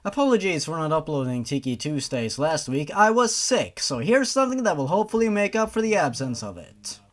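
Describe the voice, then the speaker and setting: Sarcastic, animated fan-fiction reader. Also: mid-range voice, fairly quick. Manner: sarcastic, exasperated, sweary asides. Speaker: host reading fan fiction. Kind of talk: story reading with mocking commentary.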